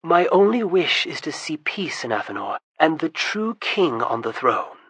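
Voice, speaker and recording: Storybook wizard, calm and courtly, voiced by the narrator, bright tone with a slightly breathy edge. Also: medium pitch, unhurried, no room sound, full character voice. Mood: courteous. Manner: composed, courteous, persuasive. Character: calm, smooth-talking adult wizard adventurer.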